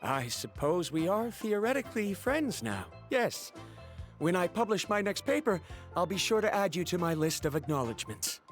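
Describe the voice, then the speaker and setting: A stubborn, earnest scholar with a fairly high voice and a formal, precise way of speaking. Faint music runs behind. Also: male voice, very animated, moderate pace. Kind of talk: game voice acting.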